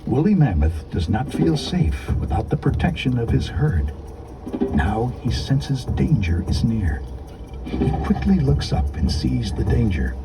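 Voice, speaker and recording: A male audiobook narrator reads a children's story with a very lively, varied pitch. His delivery is scripted, deliberate and expressive. Music plays behind his voice. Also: vocal fry, poor audio.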